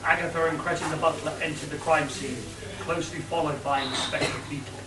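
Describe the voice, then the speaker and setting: A man's voice: a little high, fairly even intonation, a little fast. Man narrating a radio play from afar. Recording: roomy, distant, audible hiss.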